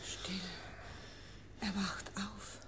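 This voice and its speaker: A woman's voice: medium pitch, level tone, extremely slow, a bit bright. A woman playing an anxious, kindly housewife in a radio drama, earnest and deferential. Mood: hushed. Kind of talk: German radio drama acting. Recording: faintly noisy old radio recording.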